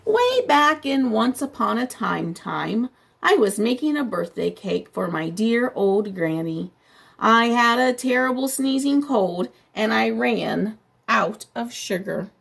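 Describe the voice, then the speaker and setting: A woman voicing a smooth-talking male wolf who pleads his innocence in a storybook, with a gravelly, husky voice and a chatty, persuasive manner. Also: fairly high pitch, expressive, takes it slowly, a bit bright, exaggerated voice.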